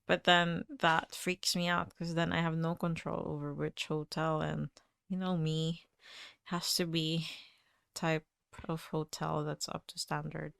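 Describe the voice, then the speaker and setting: Low-voiced, giggly woman co-host. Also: little pitch movement, unhurried, creaky, clean tone, no room sound, clean studio sound. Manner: giggly, cautious, trails off quietly. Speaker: podcast co-host. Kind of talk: casual chat.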